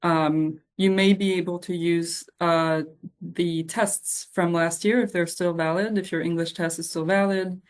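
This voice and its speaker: A woman on a program's staff answering audience questions in an informal, halting, reassuring way. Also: fairly low pitch, steady tone.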